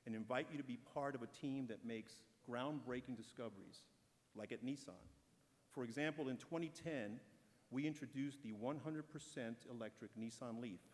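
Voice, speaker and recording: A man delivers a measured, encouraging prepared speech at an awards ceremony. His voice sits at an ordinary pitch. A long reverb trails each phrase through the large hall. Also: a little mellow. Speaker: corporate speaker at an awards ceremony.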